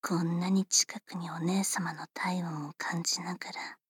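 A woman voicing a polite, teasing female servant who attends a young lady, speaking in formal, unhurried whispers for an ASMR roleplay. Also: lowish voice, little pitch movement, breathy edge, dry recording, clean studio sound.

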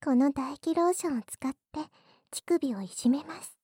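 A woman playing a coy, secretly lewd maid in an intimate adult ASMR roleplay, teasing her master in a breathy voice that often drops to a whisper. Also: high voice, animated, normal speed, mellow, no room sound, studio quality. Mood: teasing, possessive.

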